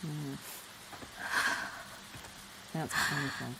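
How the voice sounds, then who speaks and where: A woman's voice: low voice, flat delivery, very slow, creaky, very noisy. A woman giving casual live commentary on a game, thinking aloud as she reacts and muses. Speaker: game streamer. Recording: game music behind the voice. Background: game music.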